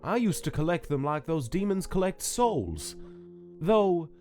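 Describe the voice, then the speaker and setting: A man's voice: fairly high pitch, sing-song, measured pace, a little mellow. Man playing a theatrical, smug cartoon casino boss. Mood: guarded. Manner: theatrical, sly, drawling. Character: smug, cynical male cartoon casino boss with dice head, bitter underneath. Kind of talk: scripted comic dub character acting. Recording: music under the voice.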